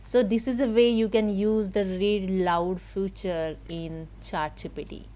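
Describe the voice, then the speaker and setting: Slow-talking woman giving a halting tutorial. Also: mid-range voice, fairly even intonation, mellow. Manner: halting, simple non-native English phrasing. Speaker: tutorial host. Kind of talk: step-by-step software screen tutorial. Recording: noticeable background noise.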